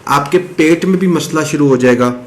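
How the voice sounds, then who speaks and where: A man explaining a home remedy step by step in a casual, chatty way, now and then clearing his throat. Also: on the high side, moderate pace. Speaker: health advice presenter. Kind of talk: casual explanatory talk to camera.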